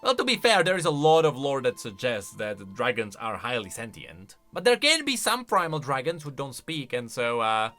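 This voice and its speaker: A man giving casual reaction commentary on video game lore, expressive and emphatic as he thinks aloud. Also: medium pitch, moderate pace, few pauses. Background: music.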